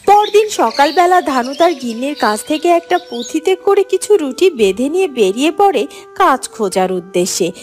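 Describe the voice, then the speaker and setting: Female narrator for children's folktale cartoon: high-pitched scripted storytelling over light music. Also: very animated, moderate pace, a little mellow.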